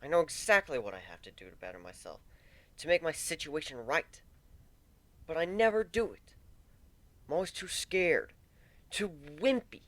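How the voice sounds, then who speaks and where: A man voicing a timid, self-loathing, grieving pegasus pony for a fan fiction reading, with halting, stammering delivery. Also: a little high, lively intonation, dry recording, pitched above the performer's own voice, close to an everyday voice. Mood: self-disgusted.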